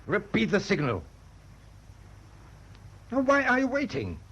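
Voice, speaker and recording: Weary, imperious German sea captain. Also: male voice, high voice, huge pitch swings, measured pace, a bit bright, slightly rough, full character voice. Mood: irritable, commanding. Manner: imperious, curt orders. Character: weary, irritable German merchant ship captain, complacent and commanding. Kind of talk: radio drama acting. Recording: dull, noisy old radio drama recording.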